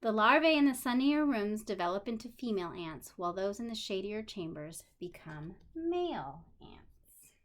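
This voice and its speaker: A woman teacher reading aloud to young children in an expressive manner, pausing for simple explanations and questions. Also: mid-range voice. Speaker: special education teacher.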